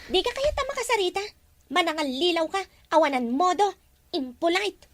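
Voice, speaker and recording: Woman acting very high-voiced prickly young student. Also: sing-song, normal speed, no echo. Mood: indignant. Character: proud, prickly, guarded young female student. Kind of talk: audio drama dialogue.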